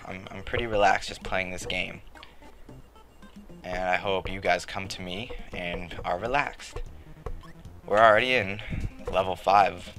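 A young man giving rambling, joking commentary while playing a game, his voice a little raspy. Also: low voice, fairly bright tone. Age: late teens to young adult. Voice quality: slightly raspy. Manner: joking, rambling, self-mocking. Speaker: let's play commentator. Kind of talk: casual gameplay commentary. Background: game music.

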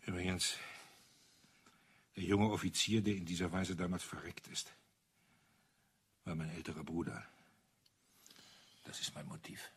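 Husky older man, retired officer. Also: deep voice, unhurried, pauses often, fairly bright tone, heavily acted. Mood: grim, restrained. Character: old retired officer, bitter, measured storyteller. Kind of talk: German crime radio play acting.

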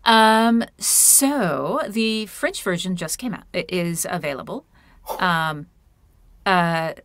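A woman's voice: lowish voice, slow, fairly bright tone, a little hiss or hum. A woman in her thirties, an author and puppeteer, chatting as an interview guest over a video call with an earphone mic, talking in a loose, self-deprecating way full of fillers. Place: home room with a red curtain. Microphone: wired earphone mic on a video call.

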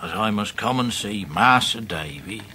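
A man's voice: fairly low pitch, animated, moderate pace, sharp tone, slight background noise. An actor playing a hearty, humble old countryman with a rustic English accent and folksy storytelling in a radio drama. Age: older. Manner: folksy dialect, chuckling storyteller. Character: hale grey-haired old countryman, hearty, humble and warm. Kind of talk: 1990s radio drama acting.

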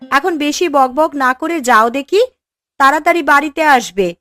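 A woman's voice: high voice, moderate pace, sharp tone, no echo, clean studio sound. A woman voicing a nagging but caring village housewife in a cartoon story. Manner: scolding, sarcastic. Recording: music bed behind.

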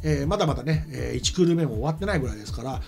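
A middle-aged man chats as a talk show co-host, laying out his opinions at length. His delivery is fast and his intonation swings in a lively way. Music plays clearly behind him. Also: mid-range voice. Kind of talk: casual studio chat. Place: small studio, seated at a table. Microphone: table microphone. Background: background music.

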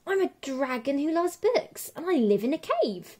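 A woman voicing a gentle, shy, bookish dragon in a storybook, speaking in an earnest, hesitant way. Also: sits high, big pitch swings, moderate pace, voice pushed up. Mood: shy, friendly. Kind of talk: storybook character voice.

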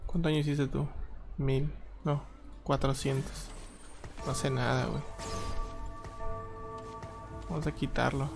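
A young man, chatting casually while playing a strategy game, reading chat and breaking into loud exclaims. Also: mid-range voice, flat delivery, slow, gravelly, pauses often. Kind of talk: casual live gameplay commentary. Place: small room at home. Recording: game music behind the voice.

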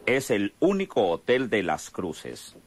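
A man's voice: lowish voice, wildly swooping pitch, takes it slowly, husky, no room sound, heavily acted. A man acting as a solemn, florid narrator of an old radio serial. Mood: dramatic, solemn. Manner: dramatic, florid storytelling. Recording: music under the voice.

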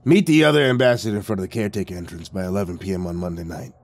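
A man's voice: low voice, rapid-fire. A man voicing a breezy, slangy male coworker in an audiobook, cajoling and reassuring. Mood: businesslike. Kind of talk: audiobook character voice.

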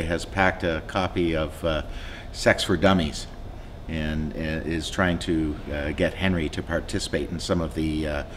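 A middle-aged man, a stage actor, speaking conversationally in a promotional interview with hesitant pauses. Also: sits low, slight background noise. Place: indoor theatre set.

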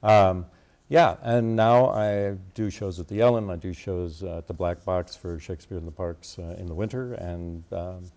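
An American man in his sixties chatting casually as a guest, in a halting, slightly wry way. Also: deep voice, steady tone, darkish tone. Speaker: interview guest, theater performer. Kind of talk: casual chat. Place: TV studio.

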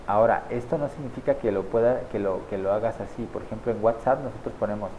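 A man in his thirties casually walking viewers through a software setup on screen, checking in with them often as he clicks along. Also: lowish voice, quick pace, muffled recording, slight background noise. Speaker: online marketing tutor. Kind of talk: screen-share software tutorial. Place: small indoor room at a desk.

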